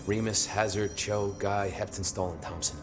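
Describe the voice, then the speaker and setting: A man plays a security officer in a video game. His voice is low, and he confides in a casual, earnest, worried way. Music plays clearly behind him. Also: steady tone, normal speed, lightly acted. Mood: confiding, worried. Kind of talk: video game dialogue acting.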